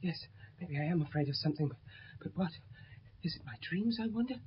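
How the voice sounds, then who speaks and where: A man acting a tormented, frightened young man in a 1970s radio drama, with a husky, breathy voice and halting, overwrought delivery. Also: on the high side, animated. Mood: dreamy confusion. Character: tormented, frightened cursed son afraid of sunlight. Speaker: actor. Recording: dull 1970s radio recording.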